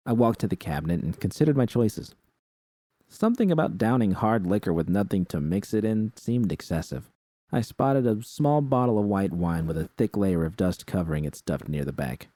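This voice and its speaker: A man's voice: on the low side, lively intonation, moderate pace, warm tone, no echo. A man reading a first-person story aloud as scripted narration. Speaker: narrator reading fan fiction.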